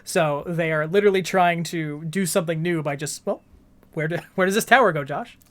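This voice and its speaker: A man's voice: fairly high pitch, fairly quick. A man co-hosting a gaming podcast, explaining things earnestly in a casual conversational style. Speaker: podcast co-host.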